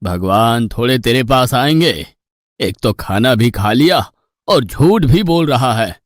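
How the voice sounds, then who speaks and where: Brief: strict, patient old guru, unhurried and admonishing, voiced by a man, mid-pitched. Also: expressive, dry recording, studio quality, lightly acted. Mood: scolding. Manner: slow, admonishing, fatherly. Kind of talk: Hindi cartoon story dubbing.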